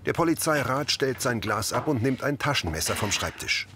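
A man's voice: mid-range voice, lively intonation, normal speed, bright, forceful tone, slight background noise. A man reading scripted present-tense scene descriptions as an audio description narrator.

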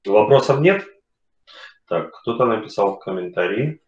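Middle-aged male webinar speaker, halting casual delivery, webcam sound in an echoey home room. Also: lowish voice, animated, darkish tone. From Kharkiv, Ukraine. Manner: hesitant, many fillers and pauses. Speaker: webinar speaker, company CEO. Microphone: webcam on video call. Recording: roomy, dull video call audio.

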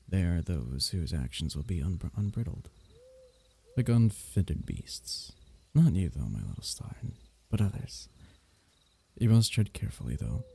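A man acts out a royal diviner from a fantasy bedtime roleplay, gentle and flirtatious. His voice is low and very dark, with a gravelly creak. He speaks intimately, in courtly, teasing phrases. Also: a little hiss or hum, exaggerated voice. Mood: solemn, explaining. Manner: tender, teasing, courtly old-fashioned phrasing, soft chuckles.

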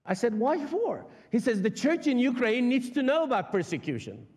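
A man's voice: very high-pitched, big pitch swings, normal speed, a little mellow. A middle-aged man from Iran whose first language is Persian, preaching a sermon in an impassioned, emphatic way and leaning hard on weighty words. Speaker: guest preacher. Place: large church sanctuary stage. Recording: long reverb of a church hall.

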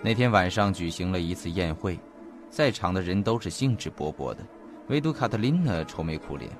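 A man acting in an audio drama as an earnest investigator, speaking in a formal, deliberate and detailed storytelling manner. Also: deep voice, expressive. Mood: measured, grave. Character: earnest male investigator recounting a mysterious disappearance. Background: faint background music.

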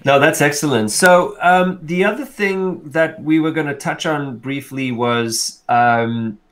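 A man's voice: fairly high pitch, measured pace, fairly bright tone. A middle-aged South African man co-hosting a casual tech chat over a video call, rambling and thinking aloud with frequent restarts. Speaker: co-host. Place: indoor room on a video call. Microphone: wired earbuds on a video call.

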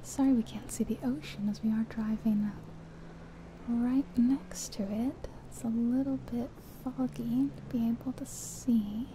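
A woman whispering softly and breathily in an ASMR roleplay as a friendly taxi driver, chatting gently and without hurry. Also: a little high, unhurried, low-quality recording. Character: friendly small-town taxi driver giving a guided ride. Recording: steady car and rain noise. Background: car sounds and rain.

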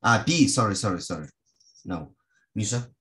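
A woman's voice: rumbling low, animated, moderate pace, a bit bright. A woman with as her language, teaching an interactive lesson on a video call in short prompts. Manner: short prompts, calls on pupils. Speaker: online English teacher. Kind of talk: casual interactive lesson with word games.

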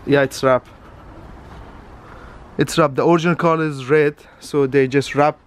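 A man's voice: on the high side, steady tone, normal speed. A young man in his twenties talking to a handheld camera while walking through an outdoor car lot, in chatty, non-native English. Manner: non-native phrasing, chatty, pointing out cars. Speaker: vlogger. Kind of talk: casual walk-and-talk vlog. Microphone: camera held at arm's length. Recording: faint outdoor street noise.

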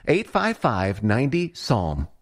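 A man's voice: mid-range voice, huge pitch swings, slow. A man as an advertisement announcer, reading a scripted, persuasive radio spot.

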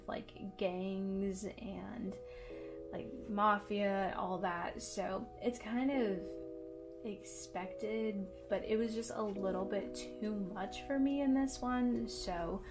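A young woman casually chatting through her monthly reads at home, with lots of ums and likes. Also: medium pitch. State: voice going hoarse. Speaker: book and manga vlogger. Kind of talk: casual monthly reading wrap-up. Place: home, by a bookshelf.

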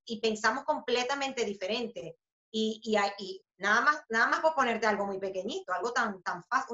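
A woman in her thirties with a Venezuelan accent, answering interview questions in a casual, animated way, with many fillers and restarts. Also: a little high. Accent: Venezuelan Spanish. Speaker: interviewee, author and journalist. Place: home room with a book poster. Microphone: video call webcam. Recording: roomy, slightly dull webcam audio.

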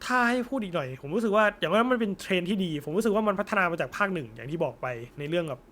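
A man's voice: high-pitched, lively intonation, rapid-fire, a bit bright. A young man chatting casually and informally as co-host of an anime discussion. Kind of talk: casual post-film chat.

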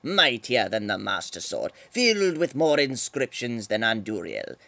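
A rambling old cartoon mouse, played by a man, pompous and scolding. He lectures at length with lively pitch movement. The sound is dry. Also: measured pace, gravelly, no room sound, exaggerated voice. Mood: pompous, lecturing. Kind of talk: parody fan dub of a cartoon.

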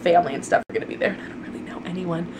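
A woman's voice: on the low side, monotone, very fast, noisy background. A woman in her twenties chatting casually and ramblingly to viewers as a vlogger, filmed at arm's length. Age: 20s. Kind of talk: casual vlog chat to viewers. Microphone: handheld camera at arm's length.

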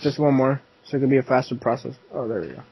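A man's voice: mid-range voice, expressive, quick pace, breathy edge. Teasing young man on a dull mic. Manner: playful, teasing, laughs often. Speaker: gamer. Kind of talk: casual gaming chat.

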